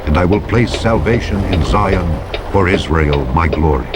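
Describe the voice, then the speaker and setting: A man with a fairly low voice reads scripture as a narrator. His delivery is solemn and declamatory, with measured, deliberate phrasing. Very loud vehicle and road noise runs behind him throughout. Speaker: audiobook narrator. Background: loud truck driving sounds from a video game.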